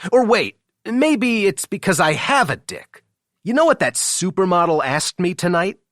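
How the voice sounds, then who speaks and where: Man acting out a cocky, high-pitched teasing best friend. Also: sing-song, a little slow, fairly bright tone, dry recording, studio quality, heavily acted. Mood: cocky boasting. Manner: brash, needling, jokey. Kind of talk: audiobook character dialogue.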